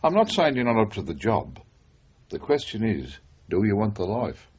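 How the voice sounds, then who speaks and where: An actor playing a frank, concerned British former policeman in a radio drama, advising persuasively in a husky voice. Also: lowish voice, sing-song, normal speed, a little mellow. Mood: earnest, persuasive. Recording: dull, band-limited radio broadcast.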